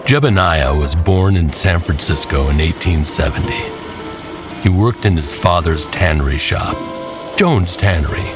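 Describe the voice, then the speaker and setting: A man narrating a scripted dramatic tale in a folksy, deliberate storyteller's manner, with music behind him. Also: low voice.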